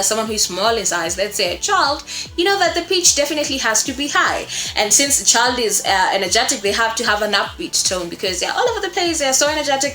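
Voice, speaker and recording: Female tutorial host, bright and forward tone, explanatory with rhetorical questions, echoey room over light music. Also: mid-range voice. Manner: explanatory, asks rhetorical questions, checks in with "okay?". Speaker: voice acting tutor, video host. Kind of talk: informal tutorial talk to camera.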